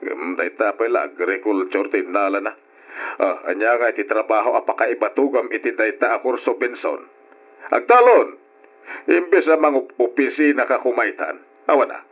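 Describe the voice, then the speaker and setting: An actor with a northern Philippine accent playing a gruff, sarcastic middle-aged father in a radio drama. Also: medium pitch, moderate pace, lightly acted. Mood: scornful, scolding. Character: stern, scornful middle-aged father belittling his son. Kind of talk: Ilocano radio drama acting. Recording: dull lo-fi old radio.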